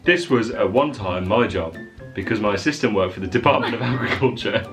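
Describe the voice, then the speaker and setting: A man's voice: lowish voice, fairly quick, a bit bright, soft background music. A man reading short stories aloud in a jokey dramatic way, often breaking into laughter mid-sentence. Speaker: vlogger.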